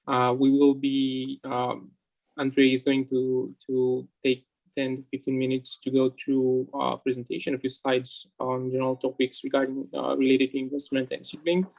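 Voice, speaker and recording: A man's voice: mid-range voice, completely flat delivery, normal speed, creaky, darkish tone, low-quality recording. A man moderating a webinar over a video call, hesitant and polite as he runs through welcome and housekeeping.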